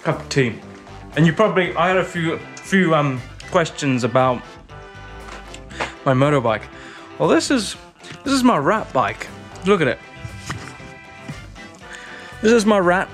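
A man's voice: on the high side, very animated, unhurried, long pauses, fairly bright tone. A man in his thirties from the UK, presenting a casual workshop vlog, chatty and self-mocking as he thinks aloud while building, recorded on a head-mounted action camera in a garage. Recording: roomy garage echo with music behind.

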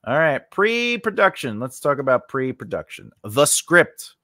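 A man's voice: medium pitch, big pitch swings, unhurried, bright, forceful tone, no echo. A middle-aged man giving a conversational lesson as host, emphatic and speaking close into a studio microphone. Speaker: host of a screenwriting lesson channel. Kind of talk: conversational instructional talk to camera. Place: small indoor home studio with cloth backdrop. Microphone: close studio condenser mic on a stand.

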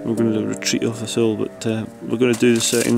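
A man's voice: medium pitch, monotone, fast talker, gravelly, music in the background. A man giving casual, understated and hesitant narration of a hiking trip on a handheld camera. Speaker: hiker filming a camping trip. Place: outdoors on hills and inside a small tent. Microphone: handheld camera mic.

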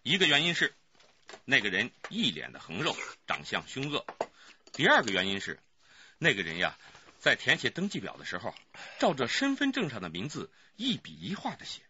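A man's voice: medium pitch, normal speed, heavily acted. An actor playing a male police detective who briefs colleagues expressively in a radio crime drama. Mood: intrigued. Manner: expressive storytelling. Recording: dull recording with faint noise.

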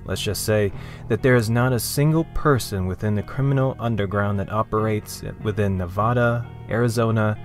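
A man's voice: fairly low pitch, moderate pace, faint music behind. A man giving dramatic scripted story narration in the theatrical manner of a showman.

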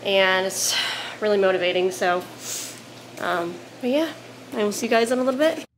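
A woman's voice: medium pitch, moderate pace, background noise. Chatty woman vlogging in her kitchen. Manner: rambling, many "like" and "um" fillers. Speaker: vlogger and photographer. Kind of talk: casual vlog chat. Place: home kitchen, indoors.

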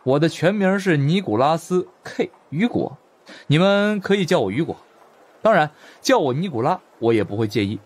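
A man's voice: a little high, expressive, moderate pace, pitched above the performer's own voice. A man voicing a flippant, theatrical young foreign man in an audiobook, playful and exaggerated, drawing out his words. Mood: mock regretful.

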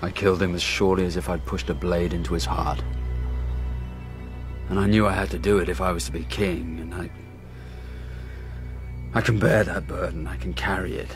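Male actor, weary brooding king, deep voice, theatrical historical radio drama, faint music behind. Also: steady tone, normal speed, long pauses, lightly acted. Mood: tormented, brooding. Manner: brooding, grave, theatrical delivery. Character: Richard Lionheart, haunted, weary warrior king at war.

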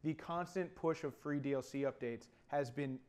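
A man's voice: medium pitch, moderate pace, a little mellow. A man in his twenties giving opinions as co-host of a casual discussion about video games. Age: 20s. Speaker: talk show co-host. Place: small TV studio, seated.

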